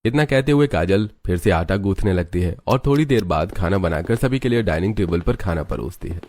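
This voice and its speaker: A man's voice: low-pitched, expressive, fairly quick, a little mellow. A man narrating a scripted cartoon story.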